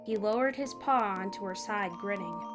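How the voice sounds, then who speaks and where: A woman's voice: mid-range voice, normal speed, over loud music. A woman speaking as narrator and host of a fan fiction reading. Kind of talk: story narration and casual outro chat.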